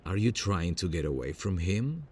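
A man playing a worried, protective big brother in an audio roleplay, speaking haltingly. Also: low voice, sing-song, darkish tone, a little hiss or hum. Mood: worried, caring. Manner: hesitant, halting with many pauses.

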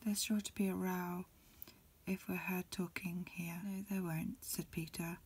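A woman reading a storybook in a breathy ASMR whisper, softly and unhurriedly, phrase by phrase. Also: fairly low pitch, flat delivery, a little slow. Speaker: amateur reader. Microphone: lo-fi home recording.

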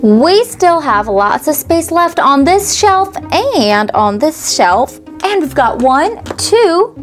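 A woman's voice: high-pitched, sing-song, slow pace, faint music behind. A woman narrating a toy unboxing in a cheerful, sing-song voice-over, naming each item as she stocks a miniature store.

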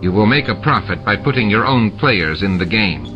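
A man voicing a stern, dry shapeshifting male security chief who talks in measured, sarcastic sentences. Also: lowish voice, lively intonation, lightly acted. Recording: dull lo-fi with music behind.

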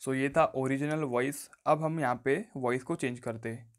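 Casting: male tutorial presenter, medium-pitched, casual explainer style with recurring stock phrases. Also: fairly even intonation, normal speed. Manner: instructional, often repeats stock phrases. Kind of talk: casual step-by-step phone tutorial. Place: voice-over on a phone screen recording.

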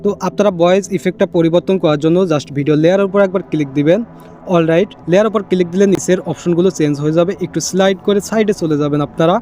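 A high-voiced man talks quickly through a phone app tutorial. His tone is on the mellow side, and he explains each step casually, repeating it and mixing in English catchwords. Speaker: tech tutorial host. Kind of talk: casual screen-recorded how-to narration.

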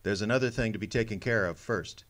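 An actor playing a tough, wisecracking private detective in a noir radio play, terse and commanding. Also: male voice, fairly low pitch, fast talker, fairly bright tone, natural voice. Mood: cocky, defiant.

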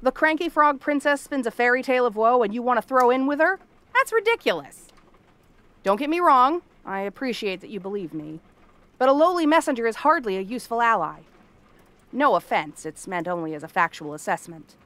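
A woman's voice: fairly high pitch, sing-song, a little fast, fairly bright tone. A woman playing a cranky, tomboyish young frog-girl princess in a fantasy roleplay, sarcastic and haughty. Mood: incredulous, scoffing. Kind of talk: scripted fantasy ASMR roleplay.

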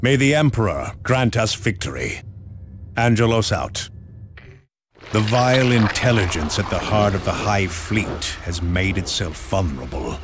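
An actor playing a grave, stoic space marine captain who gives formal, measured orders in a video game. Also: male voice, on the low side, animated, background noise. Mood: solemn, resolute. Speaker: military commander. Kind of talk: video game mission briefing, dramatic acting.

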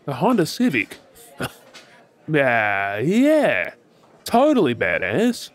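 A man's voice: mid-range voice, wildly swooping pitch. Man acting a swooping, tipsy Australian flirt. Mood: cocky, teasing. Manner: teasing, drawling, halting with hesitations. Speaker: audio roleplay performer. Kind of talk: ASMR audio roleplay, flirty monologue to the listener. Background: faint bar crowd chatter.

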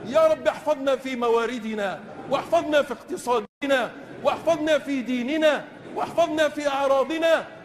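A man's voice rings out in a long, cavernous reverb, pitched extremely high. He is an imam, leading prayer in chanted oratory. He pleads with passion, repeating his phrases. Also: fairly quick, a little hiss or hum. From Tunisia. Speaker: imam leading congregational supplication. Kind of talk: Islamic supplication prayer in classical Arabic, chanted oratory. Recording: long echoing reverb of large space.

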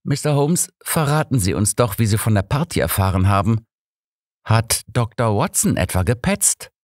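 A man voicing a bossy, motherly woman in an audiobook, with a brisk and emphatic manner. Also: on the low side, sing-song, darkish tone, no echo, studio quality, voice pushed up. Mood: stern, curious. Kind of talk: audiobook character reading.

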